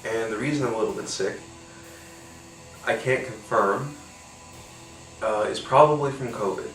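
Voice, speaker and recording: A man's voice: fairly low pitch, normal speed, a bit bright, roomy sound, recorded from a distance, faint music behind, rough recording. Congested, nasal man chatting casually. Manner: rambling, swears casually, many fillers. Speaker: podcast host. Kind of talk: casual solo beer-tasting chat.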